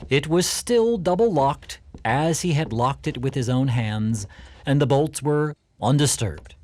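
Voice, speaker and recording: A man narrating a classic story for an audio drama in a deliberate, expressive, theatrical way. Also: a little high.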